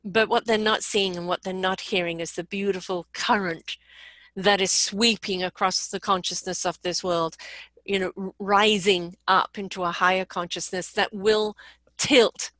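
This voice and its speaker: A woman with a bright, forward voice talks earnestly and warmly about inner wisdom, her thoughts running on in long strands. The sound is dry and close, and she laughs often between points. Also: medium pitch, fairly even intonation, normal speed, no echo, poor audio. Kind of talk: casual spiritual conversation.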